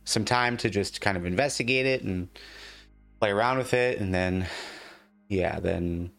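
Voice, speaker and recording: A man improvising tabletop game narration as game master, speaking haltingly and often repeating words. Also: lowish voice, creaky, a bit bright, faint music behind.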